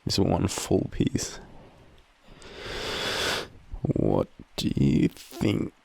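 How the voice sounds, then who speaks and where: A young Australian man in a drowsy, halting, casual boyfriend roleplay, murmuring softly as he rambles through a story with slangy swearing. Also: sits low, big pitch swings, creaky, slightly rough, no echo. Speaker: audio roleplay performer playing a boyfriend. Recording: faint background ambient noise.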